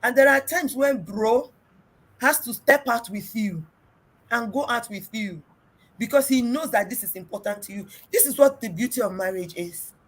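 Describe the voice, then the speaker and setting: A middle-aged woman with a Nigerian accent and a big, loud voice, hosting a casual relationship talk and telling stories emphatically. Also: on the high side, moderate pace, husky, rough recording. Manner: emphatic, storytelling, mixes in pidgin. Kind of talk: casual group discussion.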